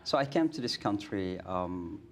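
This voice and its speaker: A man's voice: deep voice, animated, a little slow, vocal fry, slight background noise. A middle-aged man with an Indonesian accent, a guest imam telling stories in a studio interview, earnest, with many restarts and fillers.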